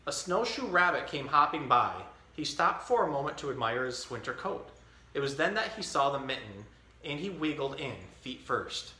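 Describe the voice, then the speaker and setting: A man in his thirties hosting a storytime, reading a children's picture book aloud with expressive, clearly enunciated delivery. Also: medium pitch, normal speed. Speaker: storytime host. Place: indoor tiled-floor room. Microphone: camera a few feet away. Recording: echoey room.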